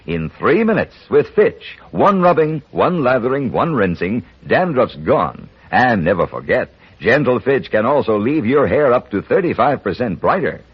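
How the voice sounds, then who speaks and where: An American man announcing a vintage radio commercial in an emphatic sales style with a slightly husky voice. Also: mid-range voice, sing-song, normal speed. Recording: muffled vintage radio recording.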